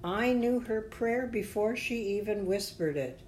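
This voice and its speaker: A woman voicing God in a children's story as a calm, kindly, tender and reassuring mysterious voice. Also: medium pitch, a little slow, a little hiss or hum, voice pushed up, natural voice. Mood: gentle.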